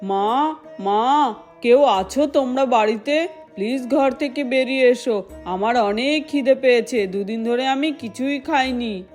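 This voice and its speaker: Gentle boy god as a hungry child woman performer: raised pitch, polite and earnest, over music. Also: measured pace, close to an everyday voice. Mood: pleading.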